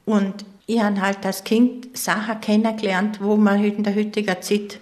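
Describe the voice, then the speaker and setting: A woman's voice: medium pitch, flat delivery, moderate pace, a little mellow. An older woman from East Tyrol with a Vorarlberg Austrian accent, reminiscing conversationally as an interview guest. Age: 60s or older.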